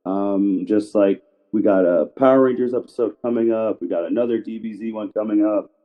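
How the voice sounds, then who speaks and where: A man's voice: on the low side, fairly quick. A man hosting a podcast in a casual, chatty style over a remote call. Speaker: podcast host. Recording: thin phone-like remote call audio.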